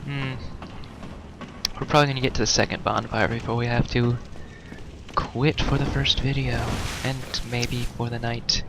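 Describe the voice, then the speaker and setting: A man's voice: medium pitch, little pitch movement, normal speed, creaky. A man giving casual let's play commentary with wry, self-deprecating muttered asides, recorded on a mic too close to his mouth. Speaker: gaming video host. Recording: noisy close mic with breath sounds.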